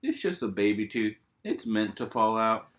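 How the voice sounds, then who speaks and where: Mother pig, read by a man, gentle storybook parent, patient, calm explaining, lively intonation. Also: lowish voice, normal speed, close to an everyday voice.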